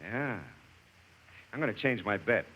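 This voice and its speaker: A man playing a calm, polite network executive with a measured, understated delivery in a 1950s radio comedy. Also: fairly low pitch, husky, heavily acted. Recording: dull, noisy old radio recording.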